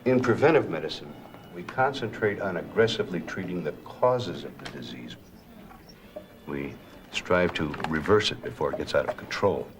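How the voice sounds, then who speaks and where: A man acting as a calm, earnest physician in a scripted drama, explaining things in a measured, formal, instructive way. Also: sits low, background noise. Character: calm, earnest male doctor explaining treatments. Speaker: actor playing a physician.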